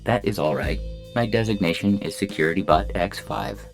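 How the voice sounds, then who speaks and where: A man plays a courteous robot in a science fiction story, speaking low with a gravelly edge. The phrasing is formal and precise, gentle in temper, over a music bed. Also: moderate pace. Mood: matter-of-fact. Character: gentle, scrupulously courteous childlike-eyed robot therapy patient.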